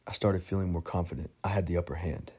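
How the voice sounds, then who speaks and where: A man's voice: sits low, steady tone, fast, dark tone, husky. A man reading a first-person audiobook story in a wry, self-mocking tone. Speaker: narrator.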